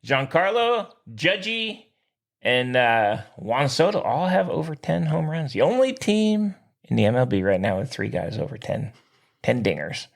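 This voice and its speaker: A man co-hosting a sports podcast, chatting casually in an animated, slangy fan's way. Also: medium pitch, measured pace, creaky. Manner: animated, slangy fan talk.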